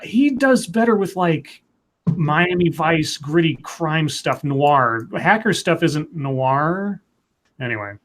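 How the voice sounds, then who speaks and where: A man co-hosts a casual live stream about comics and movies. His voice sits on the higher side. He rambles through his points with plenty of fillers and restarts. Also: animated, slow pace, a bit bright. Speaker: podcast co-host.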